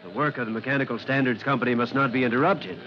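A man's voice: mid-range voice, fairly even intonation, fast, a crowd in the background, natural voice. An actor playing a pompous, fussy company man, officious and rigidly devoted to procedure, in an old-time radio drama. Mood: officious, insistent. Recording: muffled, noisy old radio drama recording.

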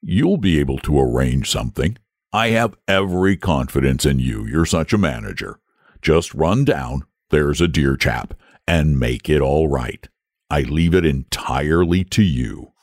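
A man voicing a lazy, gentle and cowardly male dragon who speaks in a languid, solemn drawl in a storybook. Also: very deep voice, lively intonation, unhurried, no room sound, clean studio sound, pitched below the performer's own voice, full character voice.

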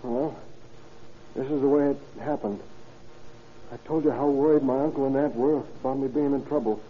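A man's voice: mid-range voice, steady tone, normal speed, close to an everyday voice. Guy playing young murderer confessing, self-justifying and matter of fact, thin hissy 1930s radio sound. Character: young male murderer calmly rationalizing his confession to police. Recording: tinny, noisy 1930s radio recording.

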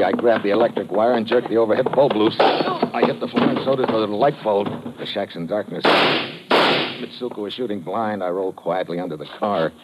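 An actor playing a tough, wry American secret agent in an old-time radio drama, terse and slangy in dialogue and narration. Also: male voice, lowish voice, lightly acted. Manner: terse, slangy, hard-boiled. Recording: muffled, noisy vintage radio broadcast.

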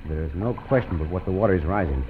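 An actor playing a calm, cunning caballero hero who speaks formal English, in an old radio drama. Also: male voice, sits low, close to an everyday voice. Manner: formal, unhurried English with Spanish words. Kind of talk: 1940s radio acting. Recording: muffled old radio with faint hiss.

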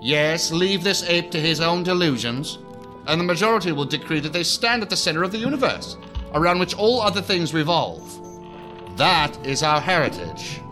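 A man's voice: on the high side, lively intonation, normal speed, bright, forceful tone. A man playing a pompous, theatrical university professor in an audio drama, speaking in florid, lecturing phrases. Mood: lofty, scornful. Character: pompous, theatrical male university professor, cynical about humankind. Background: faint music.

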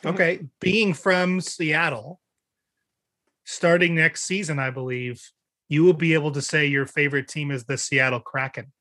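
A man's voice: on the high side, steady tone, normal speed, pushed and bright, no echo. A man co-hosting a podcast, asking questions and teasing lightly in casual chat. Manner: laughs easily, light teasing. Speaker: podcast co-host.

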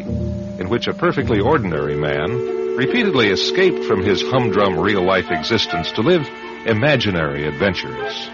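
A male radio announcer with a lowish voice and a sweeping, animated delivery. He narrates in a measured, theatrical way, over loud music. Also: lo-fi sound. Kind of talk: dramatic scripted radio narration.

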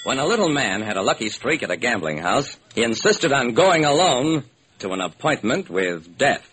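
A man's voice: mid-range voice, sing-song, normal speed, fairly bright tone. An American man delivering theatrical, hard-boiled first-person detective narration in the style of old-time radio. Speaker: narrator and solo performer of a detective radio series. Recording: noisy old radio recording.

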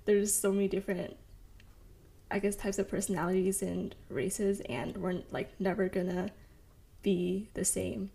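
A woman's voice: mid-range voice, flat delivery, unhurried, vocal fry, mellow. Young woman, casual storytelling, filler-heavy, conversational. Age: young adult. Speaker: participant.

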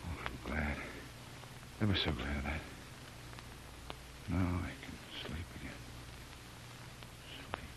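An actor playing a weak, feverish adventurer in a sickbed, halting and faint, in 1930s radio drama style. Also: male voice, rumbling low, exaggerated voice. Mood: relieved, drowsy. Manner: halting, faint, breathy delivery. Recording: noisy vintage radio recording.

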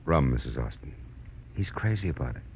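An actor playing a wry, tough American private detective in 1940s radio style, with a sardonic, slangy delivery. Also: male voice, rumbling low, animated, fairly quick, slight background noise. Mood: dry, probing. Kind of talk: 1940s radio acting, with first-person narration. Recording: old radio recording, faint music.

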